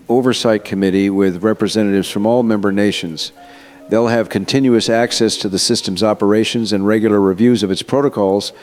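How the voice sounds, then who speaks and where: Guy playing a confident scientist getting interviewed in an audio drama, earnest, lowish voice, music under it. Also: darkish tone, close to an everyday voice. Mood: reassuring. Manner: assured, earnest, persuasive explaining. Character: male scientist, confident, earnest architect of AI system. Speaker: interviewed expert.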